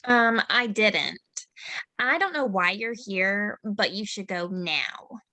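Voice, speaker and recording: A woman's voice: medium pitch, expressive, slow pace, bright, forceful tone, no echo. A woman reading the part of a dismissive popular teenage girl at a table read. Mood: cold. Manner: cold and dismissive. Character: snide teenage girl, mean popular type. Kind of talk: table read of a teen drama.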